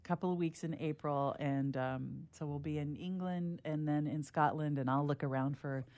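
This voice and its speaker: A woman's voice: deep voice, fairly even intonation, moderate pace, darkish tone, no echo. A woman telling stories on a radio show, both reading aloud and chatting in a friendly way. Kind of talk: storybook reading and friendly chat.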